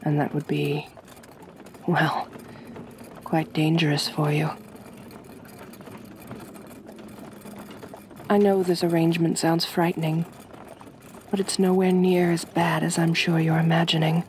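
A woman voicing a gentle, possessive aristocratic vampire mistress in an ASMR roleplay, soft-spoken, formal and soothing. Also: sits low, level tone, moderate pace, long pauses, a little hiss or hum, natural voice. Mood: persuasive, calm.

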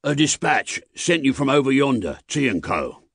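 A man voicing a rough, insistent horseback messenger in an audiobook, calling out in a hoarse voice. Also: mid-range voice, sing-song, moderate pace, a bit bright, no echo, pitched above the performer's own voice, full character voice. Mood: answering. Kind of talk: dramatised audiobook character.

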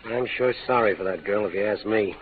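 An actor playing a casual, plainspoken frontier sidekick in a radio Western, folksy and laconic. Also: male voice, on the low side, brisk, lightly acted. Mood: gossipy. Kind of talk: 1940s radio western acting. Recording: tinny, noisy old radio recording.

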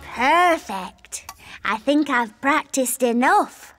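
High-pitched determined little princess. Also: female voice, animated, takes it slowly, a bit bright, faint music behind, exaggerated voice. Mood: eager. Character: small, determined little princess, eager and easily downcast. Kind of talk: children's cartoon acting.

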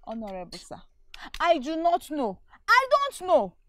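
A woman's voice: high-pitched, huge pitch swings, a little slow, a bit bright, no room sound, clean studio sound, heavily acted. An actress playing a flustered, pleading young female servant.

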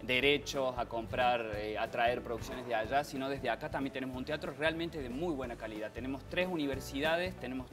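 A man's voice: mid-range voice, fairly quick, hardly any pauses, music in the background. A man in his thirties with a Córdoba Argentine accent, an actor speaking passionately as a guest in a TV studio interview. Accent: Cordobés Argentine Spanish. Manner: passionate, animated with hand gestures.

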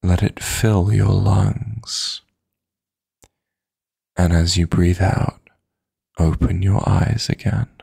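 A man playing a possessive, teasing dream demon lover in intimate sleep-aid roleplay audio, coaxing softly and deliberately in a gravelly, breathy voice. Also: low-pitched, fairly even intonation, exaggerated voice. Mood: soothing, hushed.

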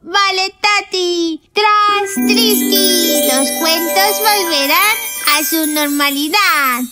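A woman's voice: extremely high pitch, extremely slow, full character voice. A woman voicing a playful, mischievous little witch girl who plays fairy godmother in a children's cartoon, with a Castilian Spanish accent. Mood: reluctant, compliant. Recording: children's music behind the voice. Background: children's background music.

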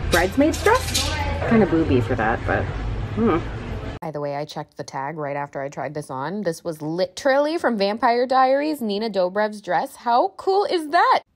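Animated young woman vlogger. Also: medium pitch, moderate pace, faint music behind. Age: young adult. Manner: playful, exclamatory, theatrical asides. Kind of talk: casual shopping vlog. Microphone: handheld camera at arm's length.

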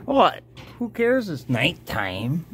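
A man's voice: on the high side, wildly swooping pitch, slow. A man speaking casually and bluntly as a bossy home mechanic, troubleshooting and giving orders with some swearing. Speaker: home mechanic filming a garage video. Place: indoors in a cluttered home garage. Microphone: handheld phone camera, speaker behind it. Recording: noisy garage with loud idling engine. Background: idling car engine.

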